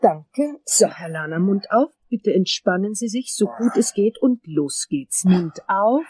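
A woman playing a composed, courteous dentist with brisk authority in a crime radio play. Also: medium pitch, lively intonation, mellow, husky, no echo. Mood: polite, businesslike.

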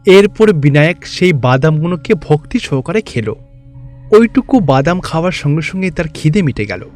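Male storyteller for scripted cartoon tales: fairly high pitch, light music underneath. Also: sing-song, normal speed, a little mellow. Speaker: narrator. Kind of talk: scripted fairy-tale narration.

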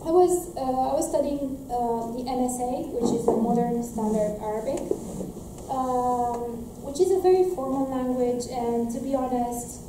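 A woman's voice: on the high side, a little slow, mellow, slight background noise. A young woman from Romania answering audience questions from a lecture podium, earnest and full of restarts and fillers. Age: young adult. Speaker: graduate student presenter. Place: lecture room at a podium, indoors. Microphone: room mic, heard from a distance. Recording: echoey lecture room from far off.

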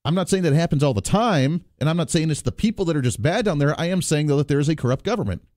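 A man's voice: a little high, animated, fast, darkish tone, no room sound, studio quality. Emphatic male radio talk host. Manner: emphatic, persuasive, rallying listeners. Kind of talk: political call-in radio talk. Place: radio studio. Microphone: close studio microphone.

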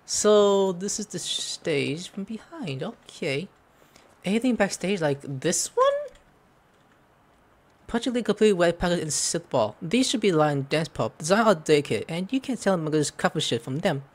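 A young man streams a game from a room at home. His casual commentary rambles and jokes, and his pitch jumps around in a very lively way. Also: unhurried. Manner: jokey, rambling. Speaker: gaming streamer.

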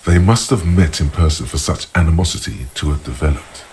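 An actor voicing a cerebral, observant inner-voice narrator in a video game, measured and literary. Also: male voice, very deep voice, noisy background. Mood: cool, probing. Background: music.

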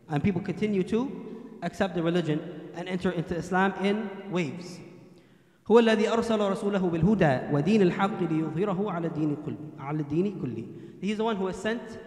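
A young man delivers a Friday sermon from a mic on a stand, reciting Arabic and then explaining it in English. His voice sits high and moves fast. The hall around him is roomy and echoing. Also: a little mellow. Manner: quotes Arabic hadith then translates into English. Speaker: imam. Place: mosque hall, indoors. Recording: echoey hall.

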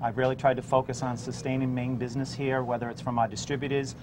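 A middle-aged chef and restaurant owner talks on camera in his dining room, relaxed and unhurried, filling pauses with "um" as he runs through his menu. His voice sits at an ordinary pitch for a man, picked up by a lapel mic with a faint hum of noise behind it. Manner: relaxed, listing dishes with frequent fillers. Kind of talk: on-camera interview and cooking demo.

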